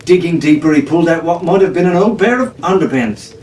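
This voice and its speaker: A man's voice: a little high, expressive, a little fast, darkish tone, a little hiss or hum. A man reading a pirate story aloud in a playful, theatrical, drawling way. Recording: echoey room from far off.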